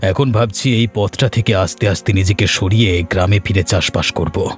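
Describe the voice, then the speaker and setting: A man's voice: lowish voice, normal speed, faint music behind, natural voice. A man voicing a repentant crook in a cartoon, earnest and admonishing as he speaks. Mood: regretful. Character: repentant male crook, an earnest, protective older comrade. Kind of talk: cartoon voice acting.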